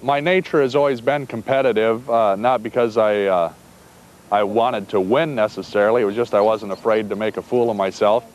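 A man from Iowa, a sheepdog trainer, talking calmly and plainly outdoors, with some fillers. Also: lowish voice, a little fast. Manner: calm, plainspoken, with fillers and restarts. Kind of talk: casual interview talk. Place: outdoors on a farm.

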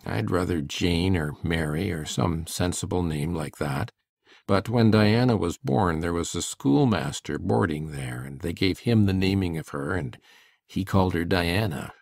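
A man playing a shy, plain-spoken older farmer in a dramatic audiobook, with a husky voice and a folksy, hesitant manner. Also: low-pitched, measured pace, a little mellow, no room sound, clean studio sound, exaggerated voice. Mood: mildly disapproving.

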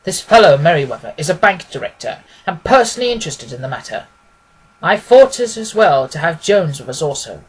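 A man's voice: on the high side, big pitch swings, a little fast, husky, poor audio. A man voicing a clever, commanding detective in a storybook, confident, severe and precise. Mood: confiding.

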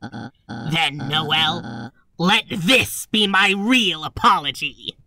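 A woman's voice: low-pitched, animated, very slow, pushed and bright, heavily acted, rough recording. A woman voicing an earnest, self-important young male bird in a video game fandub. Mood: grand, determined. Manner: grandiose, earnest. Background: clear music.

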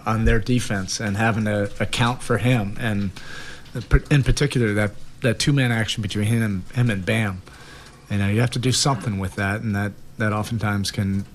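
A man's voice: lowish voice, a little hiss or hum. A man in his forties, a head coach answering questions at a press conference, thoughtful, with many fillers and repeated words. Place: press room in front of sponsor backdrop. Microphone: table mic.

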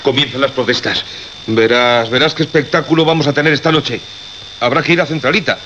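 An actor with a Castilian Spanish accent playing an irritated, sceptical radio station colleague in a suspense drama, blunt and pressing. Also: male voice, mid-range voice, a little fast, pushed and bright, lightly acted. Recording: dull radio recording, faint noise.

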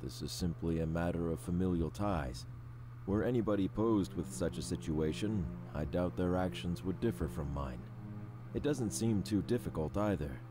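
An actor playing an earnest, dutiful man reading his written journal in a video game, measured and solemn. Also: low-pitched, music in the background, close to an everyday voice. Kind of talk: scripted video game narration.

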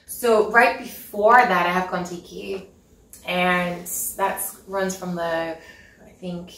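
Norwegian woman, gravelly low voice, roomy. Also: steady tone, slow, a bit bright. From Norway. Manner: chatty, giggly, lots of ums and restarts. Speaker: interview guest, concert pianist. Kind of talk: casual interview chat. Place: home living room with piano, indoors. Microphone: far camera mic. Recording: echoey room, mic at a distance.

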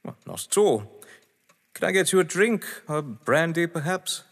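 In a reverberant hall with music behind, a man acts out a pompous English gentleman for an audio drama. His voice sits fairly high and swings widely in pitch. He is florid, theatrical and breezily haughty. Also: big pitch swings, unhurried, vocal fry, full character voice. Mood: airy, polite.

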